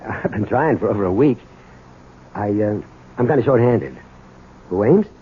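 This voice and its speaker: An actor playing a troubled, desperate husband in a 1970s radio drama, halting and emotional. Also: male voice, on the low side, animated, phone-quality sound. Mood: anxious, pleading. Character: troubled, desperate husband and small business owner, bitter toward his father. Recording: thin, noisy old radio broadcast.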